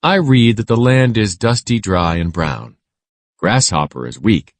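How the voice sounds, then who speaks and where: A man reading a scripted children's lesson aloud in a measured, instructional way. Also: fairly low pitch, lively intonation, no echo.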